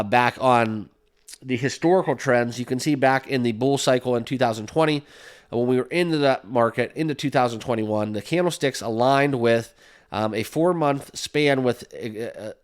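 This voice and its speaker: A man's voice: mid-range voice, clean tone. A man hosting a crypto channel, chatting casually and upbeat with lots of fillers and "right?" tags, sniffling with a slight cold. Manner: upbeat, full of fillers, keeps asking "right?". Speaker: crypto YouTube host. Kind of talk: casual talk to camera.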